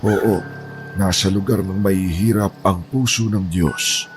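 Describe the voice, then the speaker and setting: A man playing a calm, pious cult apostle in an audio horror drama, earnest, polite and persuasive as he recruits. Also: low voice, a little slow, slight background noise.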